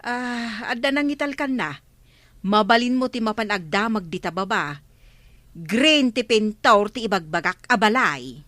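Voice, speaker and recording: A woman's voice: mid-range voice, animated, a little slow, sharp tone, no room sound. A woman playing an inquisitive, helpful village councillor with an informal questioning manner in an Ilocano radio drama.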